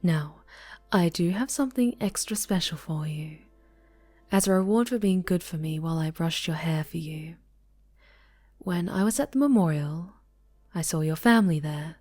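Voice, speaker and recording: A woman's voice: fairly low pitch, animated, moderate pace, soft background music. A woman playing an obsessive winged superheroine captor in an ASMR roleplay, murmuring with doting, condescending tenderness and slipping into whispers. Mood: tender, teasing. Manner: soft, cooing, condescending tenderness, drifting into whispers.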